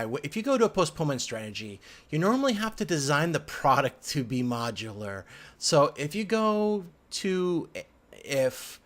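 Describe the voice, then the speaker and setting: A man giving an informal tutorial as an instructor, thinking aloud in halting phrases with frequent restarts. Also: mid-range voice, fairly bright tone. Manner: halting, hesitant phrasing with repeated word restarts. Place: indoors at a computer. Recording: clear background noise, keyboard typing.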